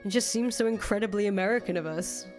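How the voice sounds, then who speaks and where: A woman's voice: medium pitch, fast talker, darkish tone, lightly acted. Woman acting a jaded, foul-mouthed young woman. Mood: weary, bitter. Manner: blunt, sardonic, exasperated swearing. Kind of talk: voiced reading of visual novel dialogue. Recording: music playing under the voice.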